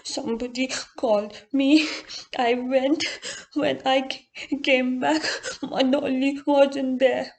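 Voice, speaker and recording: A woman voicing a five-year-old girl in a storytelling, speaking in a halting, drawn-out childlike way. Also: high-pitched, flat delivery, vocal fry, a little mellow, voice pushed up, exaggerated voice. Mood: tearful, distressed. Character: tearful five-year-old girl who lost her doll.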